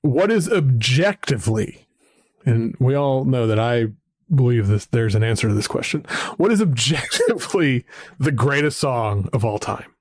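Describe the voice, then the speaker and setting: Man, podcast host, deep voice, rambling casual chat, dry close mic. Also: lively intonation, normal speed, slightly rough, no room sound. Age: adult, a parent. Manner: rambling, chatty, frequent fillers and restarts. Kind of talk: casual conversation about music.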